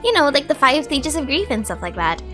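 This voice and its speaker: A woman streamer with a fairly high voice and music behind her. She comments casually on a game, chatty and reflective, with a jokey streak. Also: very animated, brisk. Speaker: gaming streamer.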